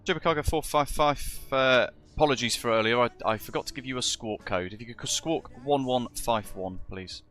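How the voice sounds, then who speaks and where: A British man streaming as a virtual ground controller, chatting casually with viewers and speaking radio calls, his voice a bit husky and chesty from a lingering virus. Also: medium pitch, moderate pace, few pauses, a bit bright. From Britain. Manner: chatty, greets viewers as matey. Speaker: streamer acting as virtual air traffic controller. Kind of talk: live stream chat and radio phraseology.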